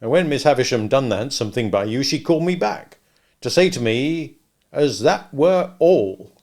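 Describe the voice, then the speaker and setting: A man voicing a kindly, slow-witted working-class blacksmith who talks ponderously in rustic grammar. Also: medium pitch, lively intonation, darkish tone, natural voice. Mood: earnest, patient.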